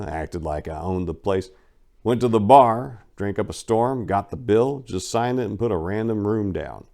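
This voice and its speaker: A man's voice: on the low side, darkish tone. A man reading his own book as a dramatic first-person narration, with a colloquial, cocky storytelling manner.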